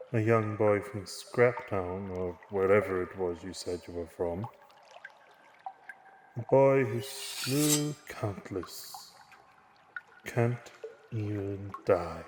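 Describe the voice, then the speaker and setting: An actor playing a grave, commanding king in an audio drama, speaking in a solemn, deliberate, imperious way. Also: on the low side, background noise. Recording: echoey hall reverb, music behind.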